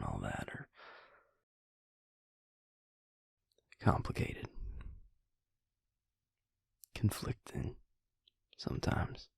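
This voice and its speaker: A man playing a brooding vampire maker in an intimate audio roleplay, speaking haltingly and reflectively in a husky, gravelly whisper. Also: no echo, studio quality, exaggerated voice. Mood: reflective, tender. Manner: halting, reflective, low-key. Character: brooding male vampire maker confiding in his mate.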